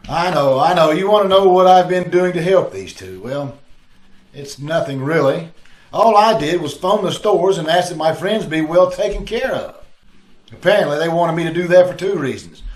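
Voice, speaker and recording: A man's voice: fairly high pitch, expressive, moderate pace, close to an everyday voice. A man playing a glib, wisecracking amateur sleuth in the style of 1940s radio detective drama, over a video call. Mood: defensive, explaining. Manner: glib, bantering. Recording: roomy, echoing video-call audio.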